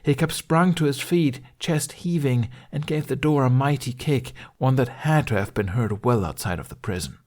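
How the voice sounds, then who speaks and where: A man's voice: mid-range voice, moderate pace, hardly any pauses, darkish tone. A man reading fiction as an audiobook narrator, in a dramatic scripted storytelling style.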